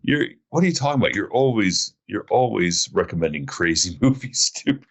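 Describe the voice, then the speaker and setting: A man with a very low voice hosts a casual podcast chat. He tells long, meandering stories and often makes fun of himself. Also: sing-song, normal speed. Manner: rambling storyteller, self-mocking. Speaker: podcast host.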